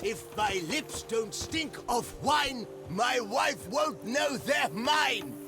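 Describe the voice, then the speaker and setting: An actor playing a jovial, wine-loving country husband in a singsong game background line. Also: male voice, very high-pitched, lively intonation, slow pace, over music, full character voice.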